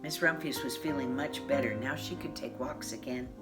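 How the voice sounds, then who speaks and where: A woman narrating a children's picture book in a measured, expressive storytelling manner. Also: a bass voice. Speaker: storybook reader. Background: instrumental music.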